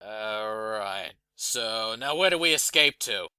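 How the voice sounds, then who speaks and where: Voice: medium pitch, slow, heavily acted. An actor doing a sardonic creature. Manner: deadpan, sardonic. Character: crude, sardonic bear-like creature.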